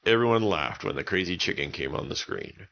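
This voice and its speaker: A man's voice: low voice, animated, a little fast, a bit bright, muffled recording, slight background noise. A man telling an improvised bedtime story for children, using simple, repetitive wording. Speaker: children's story podcast narrator.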